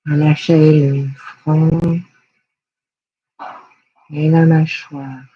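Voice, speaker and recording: Super slow hushed meditation guide, soft husky voice, soothing, long pauses. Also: medium pitch, level tone, muffled recording. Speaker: yoga nidra guide.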